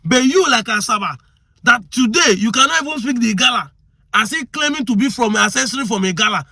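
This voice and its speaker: A man's voice: extremely high pitch, lively intonation, fairly quick, gravelly, sharp tone, husky, dry recording, studio quality. A man with a Nigerian accent giving impassioned commentary, arguing hard and firing off rhetorical questions. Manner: argumentative, fires rhetorical questions.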